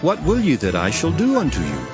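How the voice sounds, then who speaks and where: An actor playing a solemn, authoritative holy teacher, declaiming in a dramatized scripture reading. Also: male voice, fairly high pitch, huge pitch swings, fairly quick, music in the background. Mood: questioning. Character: Jesus, authoritative teacher telling parables.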